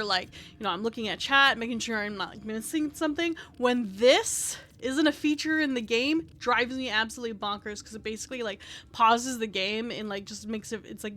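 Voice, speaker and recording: Woman, soft small voice, fairly high, casual VTuber stream talk, lots of "like", mic right at her face. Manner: rambling, fills every phrase with "like", swears casually. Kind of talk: casual gameplay stream chat. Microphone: desk mic placed very close to the face.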